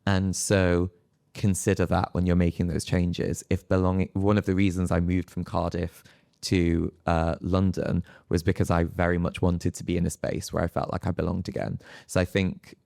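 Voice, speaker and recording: A man with a deep, gravelly voice gives advice as a scientist on a panel. He is reflective and halting, with very little rise and fall. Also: level tone, a little mellow. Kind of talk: spoken panel advice at a live event.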